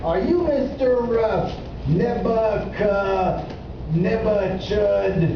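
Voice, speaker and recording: A man's voice: high voice, slow, creaky, heavily acted. A man voicing a blunt, impatient, wisecracking working-class repairman in a children's puppet show. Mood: brusque, impatient. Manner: blunt, informal, slangy, impatient. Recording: echoey, muffled, noisy room, far from mic.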